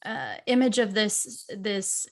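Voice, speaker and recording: Woman podcast host; mid pitch; warm affirmations, reflects the guest's words back. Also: big pitch swings, slow. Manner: affirming, supportive interviewer. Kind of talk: casual podcast conversation.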